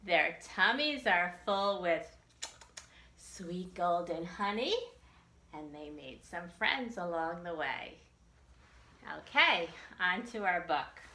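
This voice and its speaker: A woman's voice: on the low side, expressive, slow pace, pushed and bright. A woman librarian leading a children's storytime, reading and reciting rhymes expressively in simple phrases for small children. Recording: echoey room.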